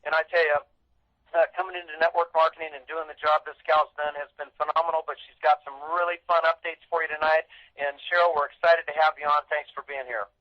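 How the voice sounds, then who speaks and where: A man's voice: on the high side, little pitch movement, quick pace, dry recording. A man hosting a conference call, introducing a guest in a folksy, upbeat way. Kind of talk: enthusiastic introduction of a guest speaker. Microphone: telephone. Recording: dull, band-limited conference phone line.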